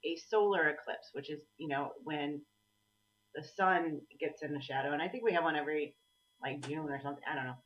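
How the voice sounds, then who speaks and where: A woman co-hosting a casual live show, chatty and quick with asides, her voice low and husky today, heard over a video call. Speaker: co-host. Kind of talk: casual live-stream chat. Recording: distant, dull lo-fi sound.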